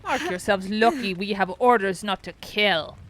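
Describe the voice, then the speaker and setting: A woman's voice: medium pitch, expressive, normal speed, background noise, natural voice. A woman playing a taunting human servant of a vampire who sneers at her foes. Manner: mocking and scornful. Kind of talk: audio drama acting.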